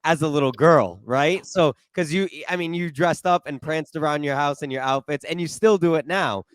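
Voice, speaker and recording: A man's voice: a little high, moderate pace, clean tone, no room sound. A man in his late twenties hosting a podcast, talking in an enthusiastic, rambling and earnest way. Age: late 20s. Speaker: podcast host. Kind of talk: casual interview chat.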